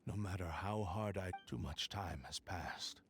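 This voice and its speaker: A man's voice: deep voice, measured pace. A man voicing the weary, obstinate spirit of an ancient sword in a video game, solemn and formal, over music. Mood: weary, defeated.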